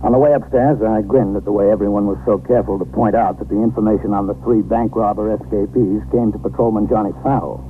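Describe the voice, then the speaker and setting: A man playing a composed, authoritative American precinct captain, measured and terse, in a scripted 1950s radio drama. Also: fairly low pitch, steady tone, natural voice. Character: composed, authoritative police precinct captain narrating his shift. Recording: noisy, narrow 1950s radio recording.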